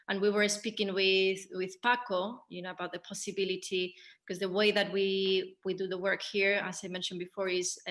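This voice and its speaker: A woman's voice: mid-range voice, a bit bright. A woman with a Spanish accent speaking as a theatre director in a panel discussion, thinking aloud with hesitations. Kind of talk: post-show panel discussion.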